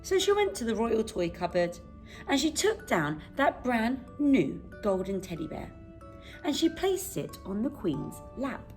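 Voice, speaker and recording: A woman reads a children's storybook at an unhurried pace. Her delivery is dramatic and highly animated, with deliberate pauses. Soft music plays behind her. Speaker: storyteller, teacher reading a bedtime story. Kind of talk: expressive children's storybook reading.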